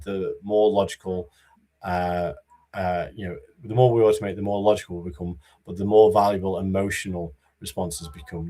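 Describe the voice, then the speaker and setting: A man giving a conference talk about business readiness, in a monotone voice with many fillers and restarts. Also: lowish voice. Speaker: conference speaker.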